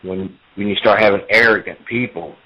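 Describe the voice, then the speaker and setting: Wrestler guy in his 40s, chatty interview, kinda low voice, dull muffled recording. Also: fairly quick, slightly rough. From United States. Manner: talkative, repeats himself, enthusiastic storytelling. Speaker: professional wrestler.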